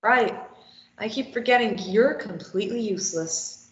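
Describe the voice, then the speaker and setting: A woman's voice: medium pitch, normal speed, lightly acted. A woman in a script table read, playing a snappy, sarcastic and desperate captive who argues back. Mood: irritated. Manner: snappy, argumentative. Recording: roomy, dull lo-fi sound.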